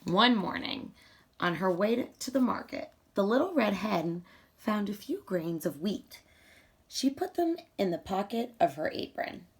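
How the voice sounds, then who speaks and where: A woman reading a children's storybook aloud in a deliberate, emphatic, teacherly storytelling style. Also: mid-range voice, big pitch swings, husky.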